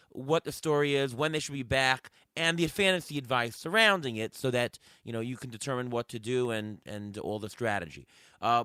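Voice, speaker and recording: A hoarse man hosting a sports podcast, firing off questions and thinking aloud in casual chat. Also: mid-range voice, sharp tone, no room sound. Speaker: podcast host.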